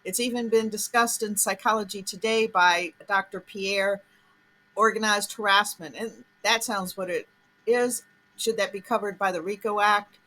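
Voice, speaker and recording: A woman's voice: mid-range voice, steady tone, moderate pace, bright, forceful tone, low-quality recording. A woman hosting an online commentary show, talking casually and directly to her viewers. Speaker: host of online commentary channel.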